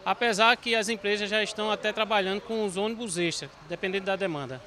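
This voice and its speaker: A man's voice: high voice, fast talker, loud music behind the voice. A man interviewed outdoors for the news, explaining procedures and urging the public to act early. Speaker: bus terminal official.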